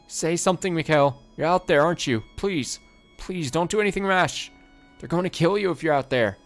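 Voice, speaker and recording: A man's voice: fairly high pitch, normal speed, faint music behind, pitched above the performer's own voice, natural voice. A man putting on a frantic young woman. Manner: pleading, frantic.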